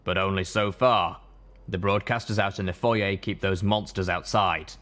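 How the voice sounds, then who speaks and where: A man voicing a rumbling, sternly hospitable undead ghoul stallion in a story reading, measured and warning. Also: fairly even intonation, fairly bright tone. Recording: echoey room, audible background noise.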